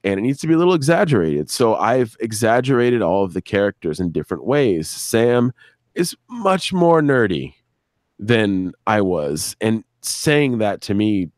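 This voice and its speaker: A man with a fairly low voice talks off the cuff as a guest on a live stream. He rambles, swears casually and acts out bits of dialogue, and the sound is close and dry with little room in it. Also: very animated, unhurried, darkish tone, no room sound. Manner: profane, rambling, acts out quotes. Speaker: audio drama creator and director, interview guest.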